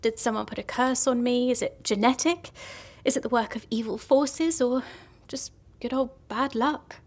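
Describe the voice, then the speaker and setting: A woman's voice: a little high, fairly even intonation, moderate pace. An actress in an audio drama playing a morbid, guilt-ridden woman who frets and apologises with melodramatic self-pity. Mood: subdued, gloomy. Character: morbid, guilt-ridden, fretful young woman convinced she causes death.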